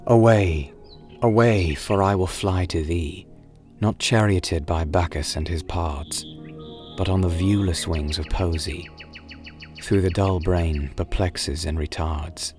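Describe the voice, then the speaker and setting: Deep, slow-voiced man reading poetry. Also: steady tone, darkish tone. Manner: solemn, measured, wistful delivery with drawn-out phrases. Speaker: poetry reader and narrator. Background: music and birdsong.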